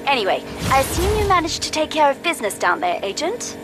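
A woman voicing a composed, articulate mission handler in a video game, with a measured, dryly amused manner. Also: high-pitched, very animated. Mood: wry, composed. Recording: music and game sounds behind.

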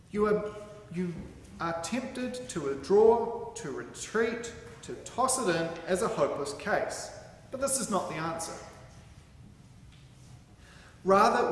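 High-pitched New man preaching in echoey hall. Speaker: sermon reader at a church. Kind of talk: sermon read aloud from a script. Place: large hall, at a lectern in front of a stage. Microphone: microphone on a stand at the lectern, camera a few metres off. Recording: very echoey hall, faint background noise.

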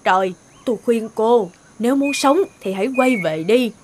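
A woman's voice: a little high, animated, moderate pace, a little hiss or hum, close to an everyday voice. A woman voicing a nosy, worried old peasant woman in a fairy-tale cartoon, folksy and full of warning.

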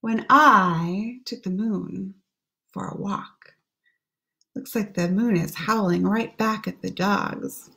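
A woman in her thirties gently reading a children's picture book aloud and explaining the pictures as she goes. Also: medium pitch, takes it slowly, vocal fry. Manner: gentle, explaining pictures between read lines. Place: small room at home.

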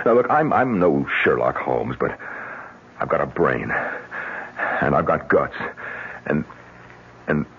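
Tough American widower, husky, halting yet forceful, muffled vintage radio drama. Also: male voice, a bass voice, exaggerated voice. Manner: forceful, halting, rhetorical questions. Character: tough self-made businessman, grieving widower, bluntly defiant. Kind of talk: 1970s radio drama acting. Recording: muffled lo-fi 1970s radio broadcast.

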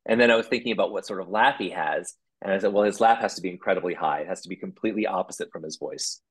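A man, a puppeteer, talking casually as a guest, rambling with restarts and quick laughs. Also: deep voice, slightly rough. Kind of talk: casual interview chat.

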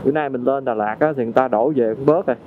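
A young man with a Southern Vietnamese accent, chatting excitedly to viewers on a motorbike road trip. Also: mid-range voice, rapid-fire, phone-quality sound, background noise. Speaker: travel vlogger. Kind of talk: casual travel commentary.

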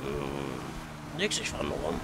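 A man's voice: very deep voice, wildly swooping pitch, slow pace, distant mic, a crowd in the background. A young man streaming a roleplay game, bantering casually and brusquely in slang with swearing. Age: young adult. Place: small room at home, indoors.

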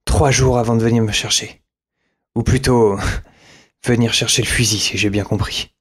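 Man acting a husky, lonely apocalypse survivor. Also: medium pitch, fairly even intonation, normal speed, close to an everyday voice. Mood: bitter, wry. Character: lonely, rambling male survivor of a zombie apocalypse. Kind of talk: audio fiction acting with inner monologue.

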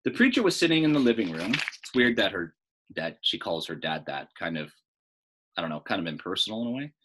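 A man's voice: low voice, sing-song, a little fast, noisy background. A middle-aged American man reading a story aloud like a teacher, expressively, with casual explanatory asides. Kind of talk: read-aloud storytelling with informal lesson asides.

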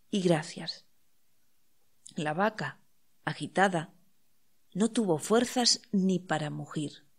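A woman narrates a literary audiobook at a slow pace. She reads poetic text in short, deliberate phrases with pauses between them. Her pitch sits in a middle range for a woman. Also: darkish tone. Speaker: audiobook reader.

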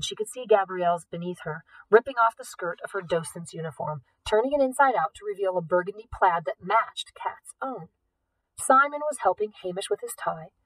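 A woman narrating a novel as an audiobook reader, with expressive delivery. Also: medium pitch, no echo, far from the mic, low-quality recording. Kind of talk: expressive scripted fiction narration.

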